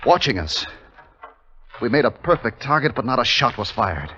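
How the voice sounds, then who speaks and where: An actor playing a resolute army captain who narrates a wartime raid in a terse, suspenseful 1950s radio drama style. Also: male voice, medium pitch, sing-song, moderate pace, sharp tone, slight background noise. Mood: hushed suspense. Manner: terse, suspenseful, clipped phrasing. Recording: dull vintage radio recording with music.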